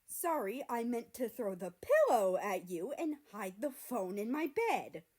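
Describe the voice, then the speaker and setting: A woman's voice: a little high, big pitch swings, unhurried, darkish tone. A woman voicing a flustered, defensive teenage boy with a dramatic, indignant way of blurting things out in an audiobook-style story.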